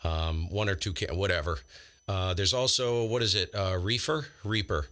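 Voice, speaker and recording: Male ham operator, low pitch with a forceful bright edge, informal rambling explainer. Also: normal speed. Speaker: amateur radio operator and livestreamer. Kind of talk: casual ham radio chat.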